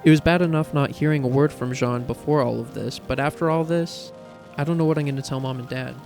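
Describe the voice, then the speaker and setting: A male reader puts on a young woman in a dramatic story reading, raised well above a man's natural register. The voice is fretful, soft and pleading, with fry in it and a stubborn streak. Faint music sits underneath. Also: mid-range voice, steady tone, fairly quick, voice pushed up, close to an everyday voice. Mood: tearful, weary. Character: young woman, tearful worried sister, stubborn.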